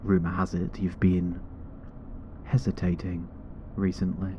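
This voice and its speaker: An actor playing a menacing rival monster hunter in a fantasy audio drama, formal and condescending. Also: male voice, low-pitched, monotone, a little slow. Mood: cold, taunting. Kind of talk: fantasy audio roleplay acting. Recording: dull sound with background noise.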